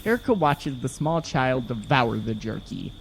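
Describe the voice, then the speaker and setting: A man's voice: mid-range voice, big pitch swings, moderate pace, creaky, a little hiss or hum, low-quality recording. A man reading scripted narration for an audio drama in the present tense.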